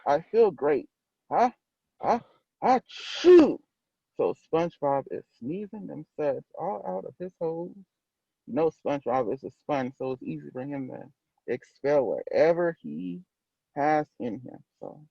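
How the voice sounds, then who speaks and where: A man's voice: a little high, animated, moderate pace, vocal fry, breathy edge, dry recording, muffled recording. A man hosting a casual story time, reading aloud and putting questions to his audience from inside a car.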